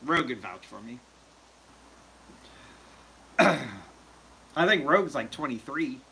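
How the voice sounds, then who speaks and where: A man's voice: medium pitch, big pitch swings, slow, gravelly, sharp tone, husky, poor audio. A man hosting a casual livestream chat, rambling with lots of fillers and joking with viewers. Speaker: livestream host.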